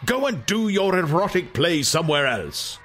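A man voicing a pompous, irate institute director in an audiobook, scolding with indignant shouts. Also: a little high, very animated, normal speed, breathy edge, a faint crowd, voice pushed up, full character voice. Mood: angry, scolding. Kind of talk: dramatized audiobook acting.

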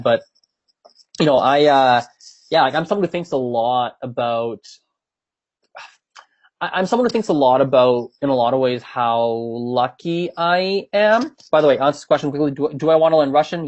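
A man's voice: mid-range voice, normal speed, dull audio. Meandering young man, Gujarati native. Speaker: streamer answering viewer questions. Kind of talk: casual livestream chat. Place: at home.

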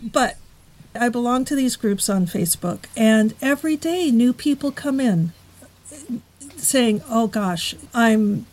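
A woman talking in a casual podcast conversation, thoughtful and measured, slowing down on key words. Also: a little high, lively intonation, darkish tone, rough recording.

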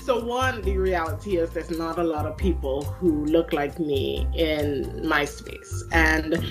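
Guest, female, Trinidadian accent, low-pitched, articulate and good-humored, faint music behind. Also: measured pace. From Trinidad and Tobago. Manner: articulate, laughs easily. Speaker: guest, people analytics expert. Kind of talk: interview answers.

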